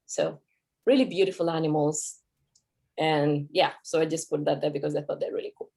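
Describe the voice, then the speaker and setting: A woman's voice: low-pitched, steady tone, moderate pace, mellow, husky. A Kenyan woman whose first language is Kikuyu, giving a conversational talk at a cultural event as a Swahili teacher, with fillers and self-corrections.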